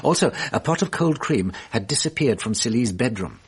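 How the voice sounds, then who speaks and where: An actor playing a shrewd, self-assured French police detective in a radio drama, explaining in a measured, theatrical way. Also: male voice, mid-range voice, animated, slightly rough.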